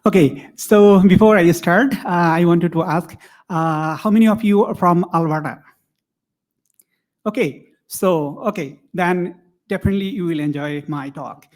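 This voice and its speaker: A man's voice: sits high, measured pace. A man from Bangladesh presenting research at a conference, talking to the room with many fillers and a friendly manner. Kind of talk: academic conference talk.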